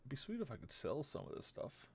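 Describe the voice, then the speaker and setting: A man in his thirties giving casual let's-play commentary, low-key and muttering as he thinks aloud. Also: wildly swooping pitch, fast, husky. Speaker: game streamer. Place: small room at home, indoors. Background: game music.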